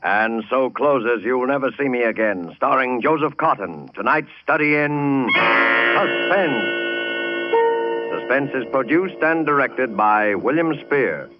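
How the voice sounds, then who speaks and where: A man's voice: mid-range voice, expressive, measured pace. A man announcing a radio show in a formal 1940s style. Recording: dull old radio recording, loud music behind.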